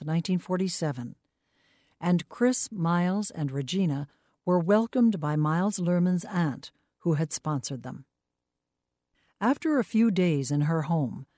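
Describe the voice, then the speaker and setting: Woman narrator, lowish voice, mellow tone, dry close sound, measured. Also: dry recording. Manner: measured, even delivery. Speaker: documentary narrator. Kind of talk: scripted narration.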